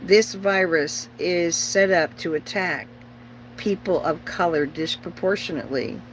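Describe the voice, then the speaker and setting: A woman's voice: on the low side, unhurried, a little hiss or hum, poor audio. A middle-aged woman chatting casually on a livestream as host, rambling and opinionated, bluntly repeating herself for emphasis.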